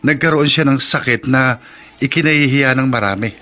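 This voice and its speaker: An actor playing an earnest, respectful man who explains gently, in a radio drama. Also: medium pitch, normal speed, close to an everyday voice. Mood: earnest, careful.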